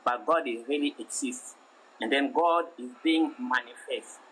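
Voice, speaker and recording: A man's voice: mid-range voice, a bit bright, slight background noise, low-quality recording. Earnest man with a French-speaking African accent. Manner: earnest, halting, preacherly. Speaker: caller. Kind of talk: live debate call-in.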